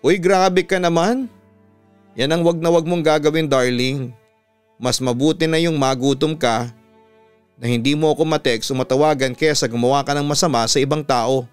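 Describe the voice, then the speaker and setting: Young Filipina girlfriend played by a male narrator, chiding yet tender, crisp audio-story delivery. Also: fairly high pitch, fairly even intonation, normal speed, no echo, soft background music, natural voice. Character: young woman, scolding but affectionate sweetheart on the phone.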